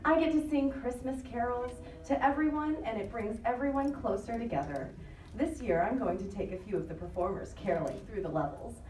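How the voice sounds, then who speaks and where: A woman playing a sweet, earnest, cheerful young singer in a live audio drama, with a coaxing, expressive delivery. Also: a little high, brisk, distant mic, lightly acted. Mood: earnest, tender. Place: convention live show stage. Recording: echoey live stage, off the mic.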